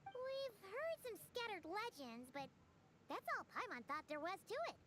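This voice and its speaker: A tiny, childlike female sidekick from a video game, with a very high voice that bounces all over the place. She is blunt and nosy and refers to herself in the third person. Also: very animated, normal speed, very noisy. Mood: perky, prying.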